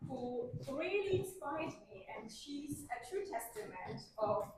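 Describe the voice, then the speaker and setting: Echoey, distant woman hosting an event. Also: fairly high pitch. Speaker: event host introducing a speaker. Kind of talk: informal spoken introduction. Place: live conference event. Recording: very echoey, distant mic, noisy.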